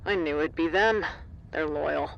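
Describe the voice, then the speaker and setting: A man with a notably high voice plays a brisk facility staffer in an audio drama. He talks in short, blunt, defiant bursts, conversational and to the point. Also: creaky, fairly bright tone, dull audio. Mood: urgent, conspiratorial.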